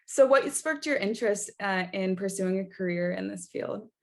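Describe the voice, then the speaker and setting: A woman hosts an interview show in a medium-pitched voice. She is polite and encouraging as she speaks with her guest. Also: normal speed, creaky. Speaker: talk show host. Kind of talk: friendly interview questioning.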